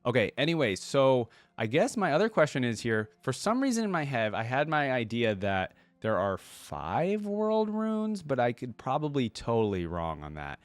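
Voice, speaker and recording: A man's voice: mid-range voice, huge pitch swings, normal speed, hardly any pauses, dry recording. A man hosting a reaction video, reading stories aloud and commenting informally, with exclamations and rephrasing as he goes.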